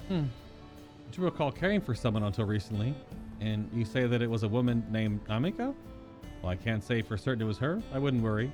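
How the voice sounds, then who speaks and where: A man with a mid-pitched voice reads a video game's quest dialogue aloud as a streamer would. He acts out the lines as he goes and sometimes trips on odd fantasy names, with music playing faintly behind him. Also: expressive, a little fast. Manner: voices characters' lines, stumbles over invented names. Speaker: streamer reading game story aloud. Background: game music.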